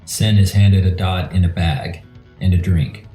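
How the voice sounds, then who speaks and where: A man's voice: low-pitched, steady tone, a little slow, warm tone. A man reading scripted, screenplay-style narration for an audio drama. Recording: roomy echo with faint music.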